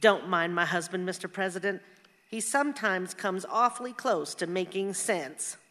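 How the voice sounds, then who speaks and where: An actress playing a bossy but hospitable wife in a radio play, plainspoken and quick to scold. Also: female voice, on the low side, animated, fairly bright tone, big-room sound.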